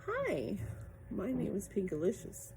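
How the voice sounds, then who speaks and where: A woman voicing an excitable, curious and friendly little girl in a children's storybook. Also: mid-range voice, unhurried, creaky, noisy background, heavily acted. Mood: excited, curious.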